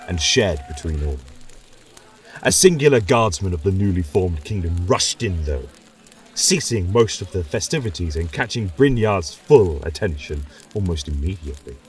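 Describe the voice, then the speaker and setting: Low-voiced man narrating a dark fantasy tale. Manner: measured, dramatic storytelling. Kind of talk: dramatic audiobook narration. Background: faint music.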